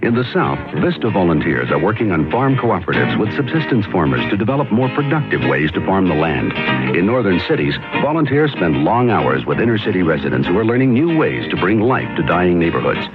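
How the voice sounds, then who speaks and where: A man's voice: deep voice, big pitch swings, brisk. A man reading a scripted public service radio announcement. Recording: slightly muffled vintage radio, music behind.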